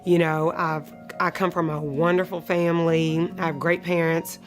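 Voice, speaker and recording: A woman of thirty-five giving a personal testimony in an interview, speaking in a measured way with pauses. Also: fairly low pitch, little pitch movement. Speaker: client of a shelter charity telling her story. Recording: music playing behind the voice. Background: instrumental music.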